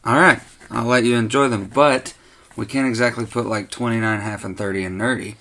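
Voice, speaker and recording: A man's voice: mid-range voice, fairly even intonation, a little fast, bright, forceful tone. A man in his thirties with a Southern American accent, co-hosting a casual podcast chat in a home man cave, upbeat and joking. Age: 30s. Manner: upbeat, joking, laughs often. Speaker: podcast co-host.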